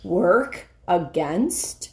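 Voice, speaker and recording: A majestic male lion ruler from a storybook, voiced by a woman reading aloud. He speaks gravely and slowly, in a measured way full of quiet authority. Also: fairly low pitch, lively intonation, full character voice. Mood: solemn.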